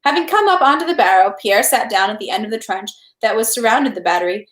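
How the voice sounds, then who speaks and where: A woman reading a novel aloud as narration, in an animated, expressive way. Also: mid-range voice, fast. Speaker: read-aloud host. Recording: echoey room.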